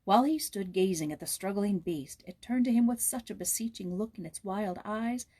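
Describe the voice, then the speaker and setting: A woman narrating a children's story aloud in a measured, expressive storytelling manner. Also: mid-range voice. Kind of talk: storybook read-aloud with informal introduction.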